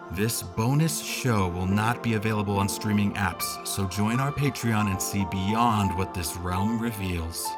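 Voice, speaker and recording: Man, podcast host, fairly low pitch, scripted announcement, music bed behind.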